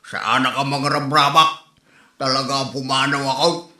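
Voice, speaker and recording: A man's voice: medium pitch, flat delivery, a little slow, sharp tone, slight background noise. A man playing a stubborn, touchy old father in a comedy drama, protesting and whining. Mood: sulky. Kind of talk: Ilocano comedy radio-style drama. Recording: roomy echo on the voice.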